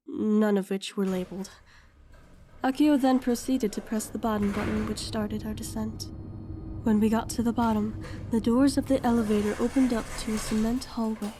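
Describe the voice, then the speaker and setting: A woman's voice: a little high, background noise, close to an everyday voice. A woman playing a curious young recruit who narrates her own story in an audio drama. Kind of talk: first-person scripted narration, audio drama.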